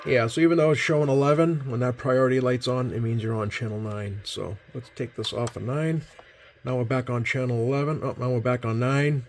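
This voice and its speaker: A man's voice: medium pitch, steady tone, a little fast. A man giving a casual, hands-on demonstration as he hosts at a radio workbench. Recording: clear background noise.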